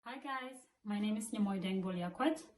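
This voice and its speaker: A woman's voice: mid-range voice, over music. Woman talking about her small business, lots of ums, roomy sound. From South Sudan. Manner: soft-spoken, many "um" pauses. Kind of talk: self-introduction to camera. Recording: echoey room with faint noise.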